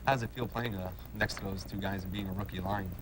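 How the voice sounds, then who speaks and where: Male TV sports interviewer. Rapid, slightly low voice, long-winded questions, noticeable noise behind. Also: fairly even intonation. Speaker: sports show host and play-by-play announcer. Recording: clearly noisy background.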